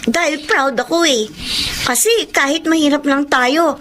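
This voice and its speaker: A woman's voice: high voice, measured pace, fairly bright tone, a little hiss or hum. A woman playing a proud, eager teenage sister with a Filipino accent in a radio drama.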